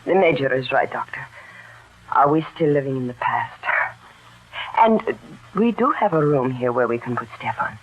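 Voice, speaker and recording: A man's voice: medium pitch, animated, normal speed, background noise, close to an everyday voice. An actor playing an earnest, practical man at a refugee camp, questioning others in an old-time radio drama. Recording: dull old radio recording.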